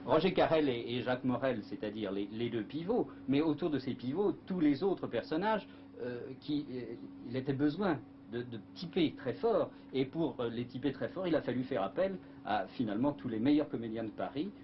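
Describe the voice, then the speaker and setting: A man, a radio producer, recalling the making of a show, halting with many fillers. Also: mid-range voice, lively intonation. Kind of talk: documentary recollection. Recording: dull archive tape with hiss.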